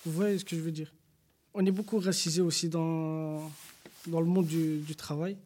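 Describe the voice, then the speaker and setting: A young man speaks in a notably high voice. He tells his own story with earnest indignation, repeating his points and pausing on fillers. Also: steady tone, warm tone. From Belgium. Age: young adult. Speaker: panel guest. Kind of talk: personal testimony in a panel discussion.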